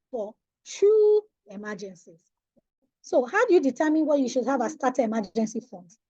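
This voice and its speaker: Emphatic Nigerian woman, high husky voice. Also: a little mellow, no echo, highs cut off. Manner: emphatic, preacherly, asks the audience questions. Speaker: financial coach. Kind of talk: webinar talk on personal finance. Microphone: online webinar audio.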